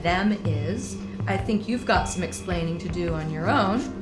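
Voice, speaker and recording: A woman's voice: on the low side, unhurried, echoey room, over music, natural voice. An actress playing a skeptical woman with a teasing edge in an audio drama, speaking in a direct, questioning way. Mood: challenging. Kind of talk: naturalistic full-cast audio drama acting.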